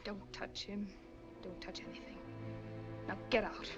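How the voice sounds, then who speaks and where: A woman's voice: mid-range voice, level tone, a little slow, over loud music, close to an everyday voice. A woman playing a hissing, furious femme fatale. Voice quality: sharp hissing whispers. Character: ruthless scheming woman, cold and furious. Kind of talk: 1940s film acting. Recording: dull lo-fi 1940s film sound.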